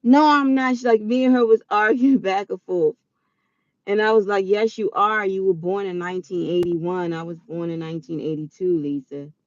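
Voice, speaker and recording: A woman around forty telling a personal story in a chatty, animated way, quick to laugh. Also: mid-range voice, no echo, muffled recording. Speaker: vlogger.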